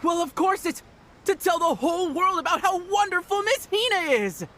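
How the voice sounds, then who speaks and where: A woman's voice: very high voice, lively intonation, normal speed, fairly bright tone. A woman voicing an adoring, eager young fan club member in an anime video game. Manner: gushing, eager. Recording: game music behind the voice.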